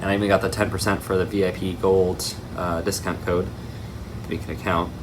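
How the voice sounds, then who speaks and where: Low-voiced man, casual and rambling. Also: level tone, a little fast, background noise. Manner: rambling, tangent-prone. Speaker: hobby video host. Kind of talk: casual unboxing commentary.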